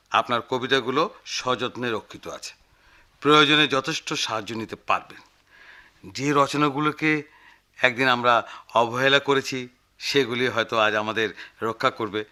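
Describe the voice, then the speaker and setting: An actor playing a fussy, effusive newspaper office manager in a radio drama, with repetitive, hesitant speech. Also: male voice, mid-range voice, bright, forceful tone. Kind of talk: Bengali radio drama acting.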